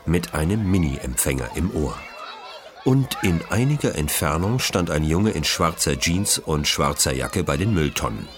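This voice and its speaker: A man reading scripted narration for a children's detective story, as its narrator. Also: extremely low pitch.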